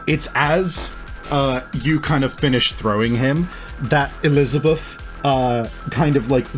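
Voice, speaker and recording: A man working as a game master, improvising tabletop game narration in a halting, piece-by-piece way. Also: mid-range voice. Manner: halting, pieces scenes together as they go. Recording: music playing behind the voice. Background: music.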